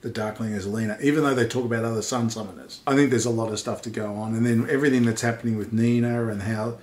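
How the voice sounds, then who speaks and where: A man's voice: on the low side, steady tone, fast talker, darkish tone. A middle-aged man in a casual book discussion, laying out theories emphatically as a guest reviewer. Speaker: vlogger's dad, guest co-reviewer. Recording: echoey untreated room.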